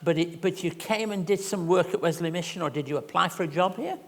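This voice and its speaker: An older man hosting a church interview, asking gentle questions with stammering restarts. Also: high-pitched, quick pace. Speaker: host. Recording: echoey hall with long reverb.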